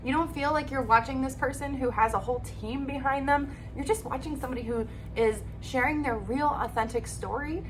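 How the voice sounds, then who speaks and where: A woman's voice: high-pitched, a little fast, few pauses, noisy background. A woman in her thirties giving upbeat, encouraging advice on a vlog, speaking straight to the viewer and losing her voice a little. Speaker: YouTube creator.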